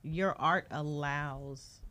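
A woman co-hosting a podcast, lively and encouraging in casual conversation. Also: sits low, extremely slow. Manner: lively, encouraging, laughs often. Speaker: podcast co-host.

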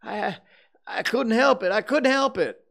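A man's voice: on the high side, brisk, smooth, pitched above the performer's own voice, close to an everyday voice. A man voicing a terrified, broken accused man in an audiobook, pleading and faltering through sobs. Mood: moaning despair. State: sobbing. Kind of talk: audiobook character acting.

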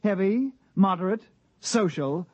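A man's voice: high-pitched, animated, unhurried, a little mellow, husky, no room sound, full character voice. An actor playing a glib, eager, fussy appliance salesman with polished, unctuously polite patter, in 1950s radio style. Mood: unctuous, pitching. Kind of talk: 1950s radio comedy-drama acting. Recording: dry, muffled 1950s radio recording.

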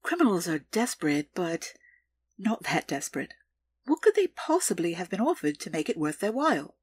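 A woman playing a calculating, deferential underworld information broker in an audio drama, with formal, measured, precise delivery. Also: lowish voice, big pitch swings, slightly rough. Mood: cool, deliberate.

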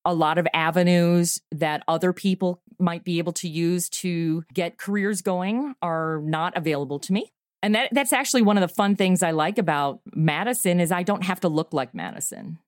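Middle-aged female interview guest, slightly low register, conversational delivery, dry sound. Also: normal speed, dry recording. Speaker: guest, audio comedy writer and performer. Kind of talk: casual interview chat.

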